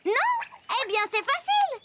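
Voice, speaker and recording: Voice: extremely high pitch, big pitch swings, moderate pace, very noisy. A performer voicing a small, playful child storyteller in a children's cartoon, talking in a teasing, conspiratorial way. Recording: loud children's music behind the voice.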